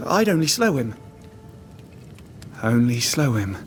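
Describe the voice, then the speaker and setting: A slow-spoken, haunted starving trapper. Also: male voice, mid-range voice, big pitch swings, soft background music. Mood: weary, earnest. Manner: rustic dialect phrasing, plain halting sentences. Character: haunted, starving male trapper, simple, devout and stubbornly loyal. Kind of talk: dramatic video game cutscene acting.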